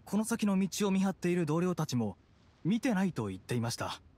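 A man's voice: sits high, sing-song, brisk, warm tone, a little hiss or hum. A man playing a serious, dutiful guard giving reports in an anime game. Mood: matter-of-fact. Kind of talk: Japanese anime game voice acting.

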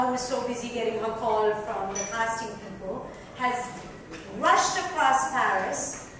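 Female reader, fairly high voice, dramatic prose delivery in a very echoey room. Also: fairly bright tone. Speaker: reader in a dramatic book reading. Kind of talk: dramatic literary reading. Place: club lounge, indoors. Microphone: far microphone. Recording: distant mic, very echoey noisy room.

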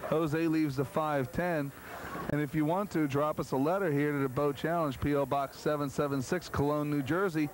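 A man's voice: on the high side, fairly even intonation, moderate pace. A man with an American accent hosting live sports commentary from a bowling alley, with joking, teasing banter. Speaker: bowling show commentator and host. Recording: noisy bowling alley, 1990s cable TV audio. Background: clear bowling alley noise.

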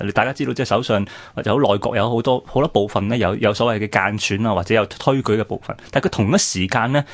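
A man with a Hong Kong Cantonese accent co-hosting a radio programme, discussing history in a conversational way. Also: on the low side.

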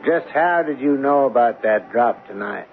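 A man's voice: medium pitch, expressive, moderate pace, natural voice. Man playing a stern, suspicious government agent, muffled. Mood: cold, suspicious. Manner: formal, insistent. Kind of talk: radio drama acting. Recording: dull, noisy old radio broadcast.

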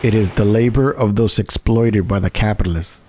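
A man reading scripted political narration in a didactic, measured way. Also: lowish voice, darkish tone, slight background noise.

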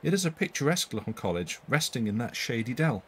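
A man's voice: mid-range voice, sing-song, fast talker, a bit bright, a little hiss or hum. A man voicing an earnest, contradictory young woman, a governess in a storybook tale. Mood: bright.

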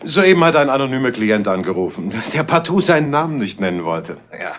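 An actor playing a scheming detective agency boss in a 1970s radio crime drama, who speaks in a smug, commanding way. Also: male voice, medium pitch, very animated, fairly quick, a bit bright, a little hiss or hum.

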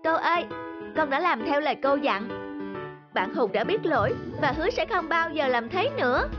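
Very high-pitched, earnest little schoolboy voiced by a woman. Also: expressive, normal speed, muffled recording. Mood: grateful. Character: earnest young schoolboys in a moral cartoon. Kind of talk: animated children's story dialogue. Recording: faint music behind the voice.

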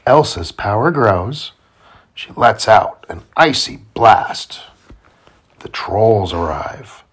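A man reading a children's storybook aloud to his child in simple, deliberate phrasing. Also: fairly low pitch, very animated, a bit bright, husky. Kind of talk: children's read-aloud narration.

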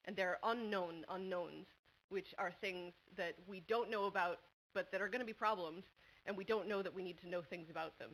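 A woman in her thirties from New England, with a New England American accent, giving a quick, chatty conference talk full of ums at a panel desk mic. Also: on the low side, rough recording. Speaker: conference speaker. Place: large university lecture hall. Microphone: table microphone at a panel desk.

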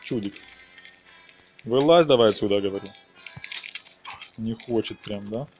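A man's voice: on the low side, unhurried, darkish tone, faint music behind. A man giving a casual, playful walkthrough commentary on a video game, chatting to the characters as he plays. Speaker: gaming video host.

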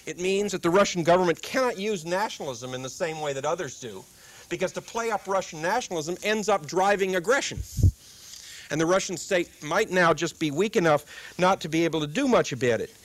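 A man's voice: high voice, lively intonation, brisk, a bit bright. A middle-aged man with a dry, wry humor, giving a public lecture at a desk microphone in a lecture room. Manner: dry wry humor, quotes with comic timing. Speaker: guest speaker.

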